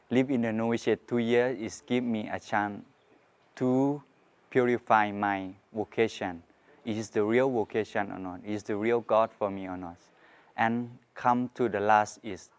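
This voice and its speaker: A young man with a Thai accent shares his testimony in a smooth, clean voice that sits slightly low. His English halts and restarts as he reaches for each word. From Thailand. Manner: halting, searching for words. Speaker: Jesuit novice. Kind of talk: personal testimony.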